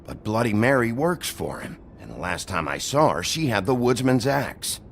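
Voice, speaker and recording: An actor playing a gruff, stern, weary sheriff of fairy-tale folk in a video game, terse and blunt with a gravelly voice. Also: male voice, mid-range voice, sing-song, moderate pace, slight background noise. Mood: firm, questioning.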